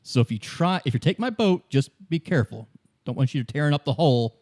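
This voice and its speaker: A man playing a hesitant, protective boat-owning parent in a tabletop roleplay, with a halting, rambling, casual delivery. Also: mid-range voice, lively intonation, a little mellow, pitched above the performer's own voice, lightly acted. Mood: hesitant, cautioning.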